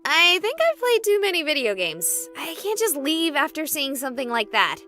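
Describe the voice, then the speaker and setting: A high, animated female voice plays a stubborn young streamer in a horror visual novel. She is sarcastic and emphatic, with music running underneath. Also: moderate pace, full character voice. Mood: sardonic. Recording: music behind the voice.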